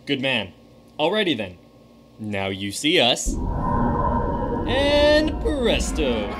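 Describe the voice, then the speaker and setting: A legendary stage magician, played by a man, who spins his past with a showman's flair and keeps his secrets close. His mid-range voice rises and falls with lively emphasis. This is a radio drama. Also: mid-range voice, slow, music in the background. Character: famous stage magician, now a ghostly guide.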